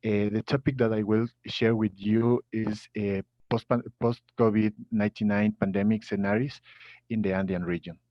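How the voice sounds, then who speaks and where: A man's voice: lowish voice, steady tone, normal speed, dry recording. A man from Ecuador with a Spanish accent, presenting on a video call, hesitant with frequent uhs. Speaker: guest speaker, economist. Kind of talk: online presentation. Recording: dull, dry lo-fi call audio.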